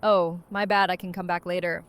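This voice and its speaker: A woman's voice: fairly low pitch, flat delivery, normal speed, smooth, close to an everyday voice. A woman playing a tough, affectionate young leader in an audio drama, casual, blunt and brash in manner. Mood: concerned. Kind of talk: fan audio drama acting.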